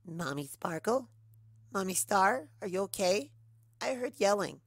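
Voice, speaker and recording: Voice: medium pitch, lively intonation, measured pace, a bit bright, no echo, clean studio sound, voice pushed up. An adult narrator voicing a little unicorn colt, an anxious and loving young son, in a fan fiction reading. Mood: worried.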